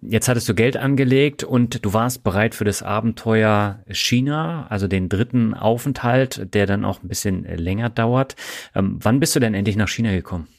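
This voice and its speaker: Male podcast host, lowish voice, calm questions, casual interview. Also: normal speed, gravelly. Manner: calm conversational questioning with fillers.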